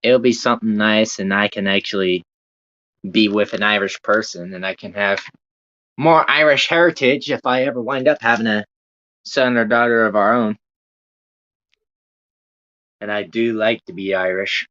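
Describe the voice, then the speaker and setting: Low-voiced Southern American man streaming. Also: normal speed, long pauses, bright, forceful tone. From Southern United States. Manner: rambling, laid-back, casual swearing. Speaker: livestreamer. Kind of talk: casual livestream chat with viewers. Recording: dull lo-fi sound.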